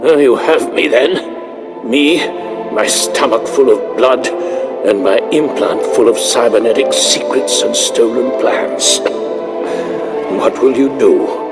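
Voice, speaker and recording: Slow grave doomed general, weary and defiant, game cutscene with loud music over him. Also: male voice, mid-range voice. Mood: resigned defiance. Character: doomed, defiant male general and defector, grimly fatalistic. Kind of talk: scripted video game dialogue.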